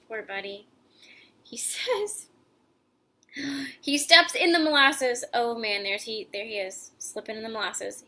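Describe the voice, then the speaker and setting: A woman's voice: fairly high pitch, bright, forceful tone. A woman hosting a children's story time, reading a picture book aloud expressively and adding chatty, casual explanations for young listeners. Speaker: host and children's story reader.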